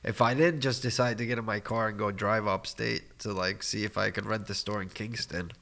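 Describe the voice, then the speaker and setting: A man's voice: lowish voice, steady tone, a little fast, creaky, bright, forceful tone, slight background noise. A man in his thirties from Argentina whose first language is Spanish, guesting on a podcast and telling his story in a rambling, casual way with chuckles and restarts. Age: 30s.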